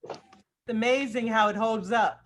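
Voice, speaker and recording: A woman with a high-pitched voice, speaking casually as a teacher leading a group. She is on a video call, hosting an informal online class discussion. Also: fairly even intonation, normal speed, a bit bright, a little hiss or hum.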